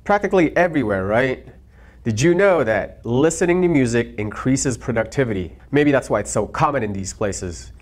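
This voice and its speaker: A man's voice: medium pitch, expressive, moderate pace. Man presenting an upbeat product video. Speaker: host of an AV product show.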